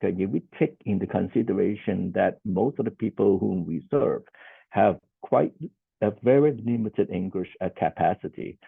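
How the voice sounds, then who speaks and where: A very deep-voiced man presents as a social worker over a video call, with a husky, gravelly tone. He talks in a measured, deliberate way and sums up each point with "okay". The sound is tinny and phone-like, close and dry, with no room echo. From Hong Kong. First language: Cantonese. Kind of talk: conference presentation.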